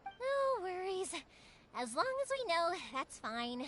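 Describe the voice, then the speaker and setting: Game heroine, young and warm-hearted, very high animated voice, chatty and casual. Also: female voice, slow pace, slight background noise, exaggerated voice. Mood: easygoing, fond. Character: cheerful, warm-hearted young woman, fireworks maker and doting daughter. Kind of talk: English video game character acting. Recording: music playing behind the voice.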